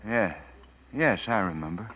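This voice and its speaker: A man's voice: lowish voice, lively intonation, measured pace. An actor playing an anguished, guilty man on the run in a 1940s radio drama. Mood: uneasy. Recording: muffled old radio recording with music.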